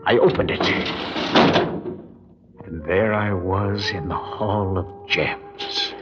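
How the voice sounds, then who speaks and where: A man's voice: low-pitched, fairly even intonation, takes it slowly, heavy background noise. An actor playing a nervy, guilt-ridden burglar who tells his crime in a hard-boiled radio drama style. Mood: tense, brooding. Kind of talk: radio drama acting with first-person noir narration. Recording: muffled vintage radio recording, music behind.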